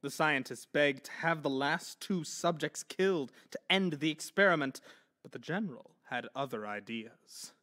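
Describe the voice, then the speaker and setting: A man's voice: a little high, expressive, normal speed, pushed and bright. A man narrating a horror story in an intense, theatrical manner.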